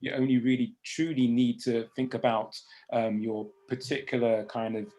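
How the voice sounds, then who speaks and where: A man's voice: mid-range voice, little pitch movement, moderate pace, warm tone. A man hosting a panel discussion in a chatty, informal, conversational way.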